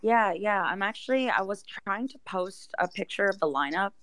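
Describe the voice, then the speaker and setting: A woman's voice: mid-range voice, animated, normal speed, crowd noise. A woman speaking as an interview guest, explaining things in a casual, chatty way. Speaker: interview guest, project team member.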